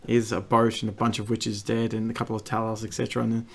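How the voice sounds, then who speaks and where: Croaky Australian man hosting a podcast. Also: lowish voice, completely flat delivery, fast. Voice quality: gravelly. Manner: stammering restarts, laughs often. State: croaky from a recent cold. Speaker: podcast host. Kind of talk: casual podcast chat.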